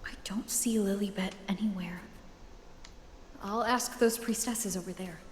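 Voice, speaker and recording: Game lead: woman voicing puzzled young heroine musing quietly, mid-pitched, echoey sound. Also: fairly even intonation, a little slow, close to an everyday voice. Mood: puzzled, pensive. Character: puzzled, searching young heroine thinking aloud. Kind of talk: video game voice acting. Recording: echoey hall reverb, faint background noise.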